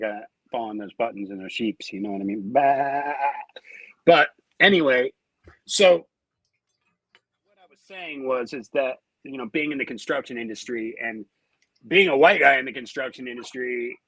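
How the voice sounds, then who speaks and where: An American man rambles casually as a panelist in a folksy way. His voice is forceful and raspy, at an ordinary pitch, with a rough edge to it. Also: dry recording, rough recording. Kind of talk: casual chat.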